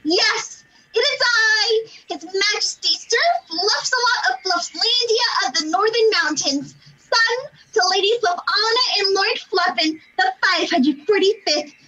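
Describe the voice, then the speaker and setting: Very high, pompous abandoned teddy bear. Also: female voice, unhurried, noisy background. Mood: grandiose. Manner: grandly indignant, theatrical proclamations. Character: proud, wounded teddy bear knight from childhood. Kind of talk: amateur radio play acting. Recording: dull lo-fi recording.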